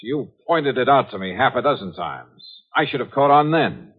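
An actor playing a sharp, wry insurance investigator in a 1950s radio detective drama, with punchy, hard-boiled delivery. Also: male voice, mid-range voice, animated, moderate pace, breathy edge. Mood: pressing, triumphant. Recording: tinny, narrow-band 1950s radio recording.

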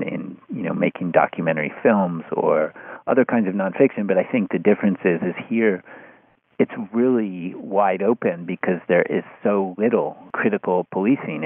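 A man speaking as an interview guest in a thoughtful, halting conversational way, often restarting his phrases. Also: low-pitched, vocal fry, husky, no echo. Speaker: interview guest, writer of an article on podcasts. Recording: phone-like narrow-band sound.

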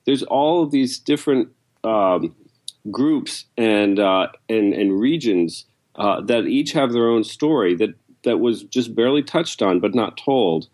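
Male editor, low-pitched, halting and thoughtful. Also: lively intonation, darkish tone. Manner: halting, lots of "uh", restarts. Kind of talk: podcast conversation.

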